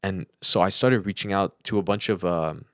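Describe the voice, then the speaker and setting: A man's voice: low voice, normal speed, fairly bright tone, no room sound, clean studio sound. A man talking casually and at length as a podcast co-host, in a chatty, rambling storytelling way. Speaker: podcast co-host, audio engineer.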